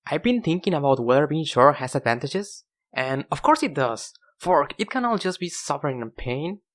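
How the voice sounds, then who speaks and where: A young man with as his language hosts a casual, comedic video. His voice sits on the high side for a man and swings widely in pitch as he pokes fun at himself. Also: normal speed. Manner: self-deprecating, animated. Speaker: video host. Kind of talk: casual scripted comedic narration to viewers.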